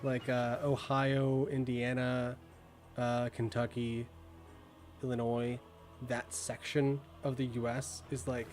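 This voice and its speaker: A man's voice: medium pitch, little pitch movement, slow pace, a little mellow, faint music behind. A young man with a Midwestern accent, chatting casually on a stream and rambling with lots of fillers. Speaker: streamer reviewing viewer work. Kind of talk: casual live-stream chat.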